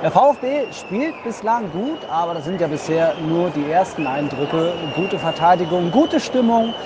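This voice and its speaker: A man's voice: high voice, animated, normal speed. A man hosting a football podcast, giving casual live impressions from the stands of a stadium. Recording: noisy stadium, loud crowd behind. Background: crowd noise and whistling.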